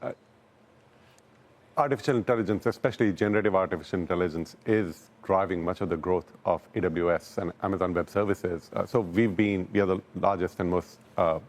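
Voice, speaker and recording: A man speaking as a technology company executive in a live business news interview, explaining things calmly. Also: sits low, fairly quick.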